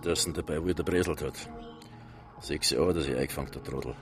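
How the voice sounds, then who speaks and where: An actor playing a slippery, self-important nightclub manager in a radio drama, cocky and evasive, with a Bavarian accent. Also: male voice, extremely low pitch, fairly quick, music in the background. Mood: scornful. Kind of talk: naturalistic radio drama acting.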